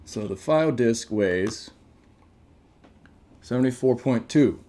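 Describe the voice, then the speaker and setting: A man's voice: medium pitch, animated, slow pace, mellow, slight background noise. A man giving casual, off-the-cuff first impressions as a gadget reviewer, thinking aloud as he goes. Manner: off-the-cuff, thinking aloud while reading out menus.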